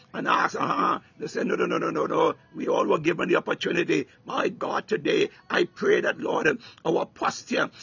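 A man's voice: high voice, vocal fry, fairly bright tone, slightly rough, no room sound. A man preaching a fervent sermon with prayer, repeating "my God" between phrases and breaking into tongues. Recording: dull lo-fi recording.

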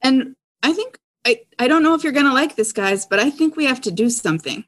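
Higher-voiced woman roleplaying. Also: animated, fairly quick, fairly bright tone. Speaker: guest player in an audio drama game. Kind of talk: in-character tabletop roleplay.

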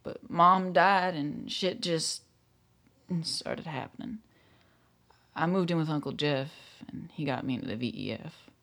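Guy doing a jittery young backwoods kid in an audio drama, highish voice with lots of fry, stammers. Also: a little slow, bright, forceful tone. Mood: anxious, pleading. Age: young adult. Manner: hesitant, stammering, folksy. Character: nervous, contrite rural youth of about twenty. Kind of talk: radio play acting.

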